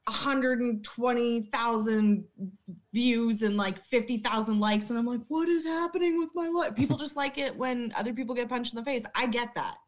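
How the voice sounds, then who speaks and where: A woman's voice: fairly high pitch, moderate pace. A young woman, a former bartender, chatting as a podcast guest, blunt and swearing freely as she laughs at herself. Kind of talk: casual interview chat.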